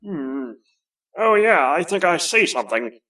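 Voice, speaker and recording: A righteous Norse thunder god for a comic cartoon, husky and high for a male. He declaims pompously in archaic style, sounding distant from the mic over music. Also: sing-song, measured pace, bright, forceful tone, heavily acted. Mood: puzzled. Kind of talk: animated comic fandub acting.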